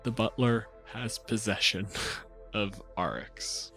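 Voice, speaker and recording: A man narrating a tabletop game as game master, telling it dramatically with halting pauses. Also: lowish voice, gravelly. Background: music.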